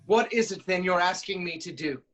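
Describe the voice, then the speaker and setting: A woman's voice: lowish voice, moderate pace, natural voice. A woman playing a proud, suspicious king in a staged reading of Greek tragedy, with stern declamatory delivery. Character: proud, suspicious, hot-tempered male king of Thebes.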